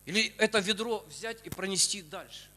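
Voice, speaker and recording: A middle-aged man delivers a sermon in a high, forceful voice. He exhorts in short bursts, leaving gaps for translation, and his words ring through a big reverberant hall. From Ukraine. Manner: exhorting, pausing after short phrases. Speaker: preacher. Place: church auditorium stage. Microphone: handheld microphone. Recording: long hall reverb from church PA.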